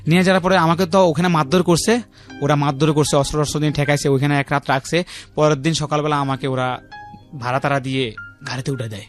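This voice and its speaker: Bangladeshi man, thirties, fairly high voice, very quick pace, repetitive personal storytelling, radio guest. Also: steady tone. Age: 30s. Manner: repetitive, uses frequent check-in tags. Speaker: guest telling his life story. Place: radio studio. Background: faint music.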